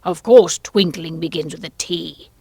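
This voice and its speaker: A woman voicing an imperious, impatient, bad-tempered storybook king sitting as judge, speaking in sharp commands. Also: medium pitch, animated, moderate pace, dry recording, lightly acted. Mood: sharp, angry.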